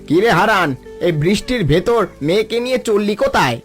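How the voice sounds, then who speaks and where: Haughty cartoon village bully, played by a man, very high voice, boastful and scolding, music underneath. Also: expressive, fairly quick. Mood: scornful, boastful. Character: arrogant rich village man, scornful bully. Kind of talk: cartoon voice acting.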